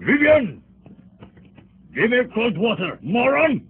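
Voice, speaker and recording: A man's voice: very high voice, big pitch swings, takes it slowly, breathy edge, heavily acted. A man playing an angry, abusive employer in a radio drama, barking orders in a domineering way. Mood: furious. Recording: thin phone-like radio sound, faint music.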